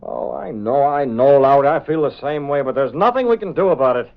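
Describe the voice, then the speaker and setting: A man's voice: medium pitch, lively intonation, brisk, close to an everyday voice. Man playing an earnest young American publisher. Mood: resigned. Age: young adult. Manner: firm, earnest, declarative. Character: earnest, crusading young male newspaper publisher. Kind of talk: 1930s radio acting. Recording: dull, band-limited old radio recording.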